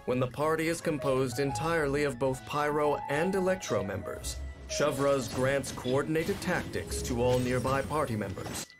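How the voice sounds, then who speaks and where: A man reading a scripted explainer about a game character in a polished, measured delivery. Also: mid-range voice. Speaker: video narrator. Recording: loud music behind voice. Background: game trailer music.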